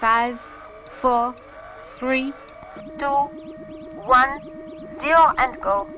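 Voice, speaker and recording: An actress playing a cool, poised female crew member of a rocket ship in a science fiction series, speaking deliberately. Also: sits high, steady tone, highs cut off. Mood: focused. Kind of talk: 1960s children's science fiction puppet series acting. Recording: music behind.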